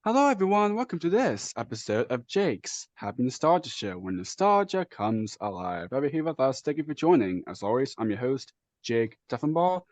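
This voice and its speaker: An upbeat male podcast host with a lively voice that rises and falls a lot as he greets his guests and co-hosts. The sound is dry and close. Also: medium pitch, moderate pace, dry recording. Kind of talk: casual interview chat.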